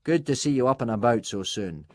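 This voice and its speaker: A man's voice: mid-range voice, animated, a little fast, noisy background, lightly acted. Man reading as a kindly, concerned football grown-up. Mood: friendly. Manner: kindly, reassuring. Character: concerned, friendly adult man at youth football.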